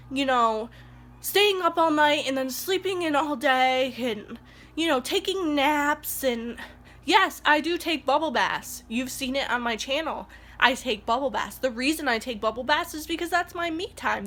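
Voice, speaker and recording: A woman's voice: high-pitched, fairly bright tone. A young woman, a stay-at-home mom, talking casually to her camera at home with a stuffed-up nose from allergies, rambling and heartfelt. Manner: rambling and heartfelt, growing indignant. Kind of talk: casual vlog talk to camera. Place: indoors at home.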